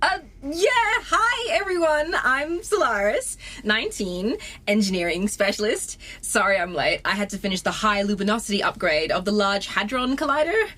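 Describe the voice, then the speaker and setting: A woman's voice: medium pitch, expressive, moderate pace, hardly any pauses, pushed and bright. A woman playing an eager, awkward nineteen-year-old nonbinary engineering prodigy in a sci-fi comedy audio drama, earnest and nerdy. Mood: nervous, chatty. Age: young adult.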